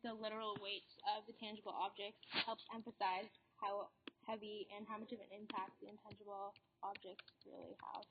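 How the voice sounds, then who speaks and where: A teenage girl, a student guest on a school podcast, answering thoughtfully and hesitantly with many pauses. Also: medium pitch, little pitch movement, noisy background. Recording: dull lo-fi recording.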